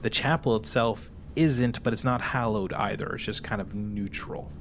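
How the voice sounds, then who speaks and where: A man's voice: fairly low pitch, normal speed, fairly bright tone, a little hiss or hum. A man narrating a tabletop role-playing game as game master.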